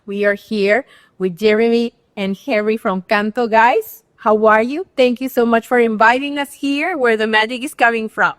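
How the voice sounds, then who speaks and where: Bilingual woman host, pitched a bit high, effusive, dry sound. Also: normal speed, no room sound. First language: Spanish. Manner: effusive, animated. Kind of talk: enthusiastic bilingual interview. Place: restaurant.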